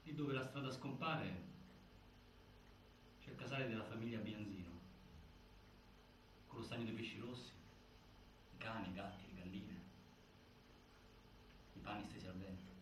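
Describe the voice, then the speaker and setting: A man giving dramatic scripted narration, deliberate and full of pauses, recorded from a distance in a very reverberant space. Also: lowish voice. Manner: deliberate, with pauses and repeated phrases. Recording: very echoey stage, distant mic, faint noise.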